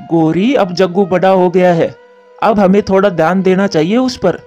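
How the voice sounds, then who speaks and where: A man's voice: high-pitched, normal speed, darkish tone, natural voice. A man voicing an earnest, admonishing crow, a concerned father in a cartoon. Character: male crow, concerned scolding father and husband. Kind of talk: Hindi cartoon voice acting. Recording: muffled narrow-band audio over music.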